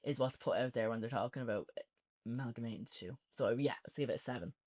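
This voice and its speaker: A woman's voice: rumbling low, fast talker, darkish tone. A woman with an Irish accent co-hosting a casual, unscripted review, chatting informally and often saying "like". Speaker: podcast co-host and reviewer.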